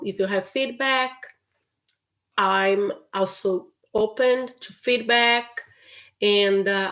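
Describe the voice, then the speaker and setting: Slow-talking Brazilian woman teacher. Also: medium pitch, fairly bright tone. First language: Portuguese. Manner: encouraging, chatty, laughs often. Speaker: English teacher. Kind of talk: live stream Q&A.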